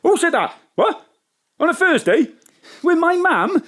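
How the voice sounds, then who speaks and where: A man playing an excitable, hallucinating pub landlord in a comic audio drama. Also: very high-pitched, unhurried, heavily acted. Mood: panicked, tripping.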